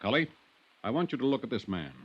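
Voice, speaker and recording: An actor playing a domineering, defiant horse farm owner, blunt and imperious, in old-time radio drama style. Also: male voice, medium pitch, sing-song, fast, slightly rough. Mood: dismissive, stern. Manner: blunt, imperious, barking orders. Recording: muffled vintage radio recording.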